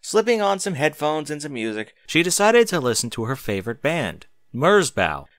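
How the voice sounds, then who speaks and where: A man's voice: a little high, sing-song, normal speed, heavy background noise. A man reading scripted fiction aloud as a dramatic narrator, close to the microphone.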